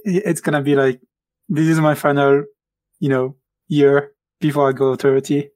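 A man in his late twenties who is a guest on a podcast and talks in a calm, reflective, measured way. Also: on the high side, no room sound. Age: 20s. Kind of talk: casual podcast chat.

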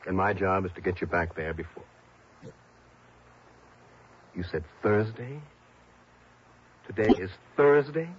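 An actor playing a wry, world-weary insurance investigator in a mid-century radio drama, shifting between dialogue and first-person narration. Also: male voice, low voice, expressive, moderate pace, slight background noise. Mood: confused, insistent. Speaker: lead actor. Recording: muffled old radio broadcast, faint music behind.

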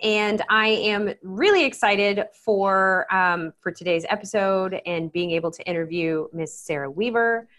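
A woman's voice: mid-range voice, unhurried, few pauses, fairly bright tone. A woman hosting a podcast, talking in an informal, upbeat, conversational way. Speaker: podcast host. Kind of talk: casual conversational interviewing.

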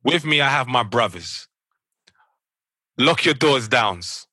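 A man's voice: medium pitch, lively intonation, slow pace, pushed and bright, no room sound, clean studio sound. A man with a London accent hosting a podcast, upbeat and hyping up his co-hosts and guest. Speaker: podcast host.

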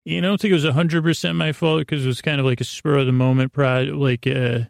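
A middle-aged man rambling through a bedtime monologue as a podcast host, halting, often interrupting himself and self-deprecating. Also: medium pitch, steady tone, gravelly, no room sound, clean studio sound.

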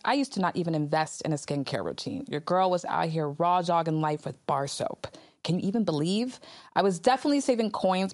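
A woman's voice: fairly low pitch, no room sound. Sassy woman podcast host, dry studio sound. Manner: sassy, animated commentary with slang. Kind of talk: casual podcast talk with ad reads.

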